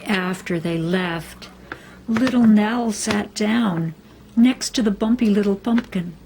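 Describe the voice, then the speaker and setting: A woman reading a children's story aloud, expressively and deliberately, with dramatic emphasis. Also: medium pitch, vocal fry, over music, poor audio. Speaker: storybook reader. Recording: faint background noise.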